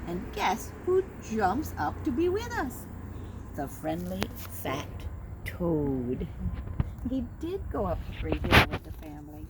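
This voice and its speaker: Expressive woman reading a picture book aloud. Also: on the low side. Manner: gentle, expressive, slows down for young listeners. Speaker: teacher reading to children. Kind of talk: storybook read-aloud for kids. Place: at home with her dog nearby. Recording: home recording with clear background noise.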